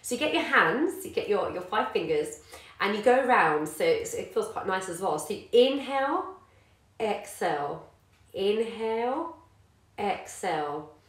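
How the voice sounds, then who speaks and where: A woman's voice: medium pitch, animated, measured pace. A woman, a yoga teacher and parent chatting casually to live viewers with many ums. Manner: warm encouraging, many ums, greets viewers by name. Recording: echoey room.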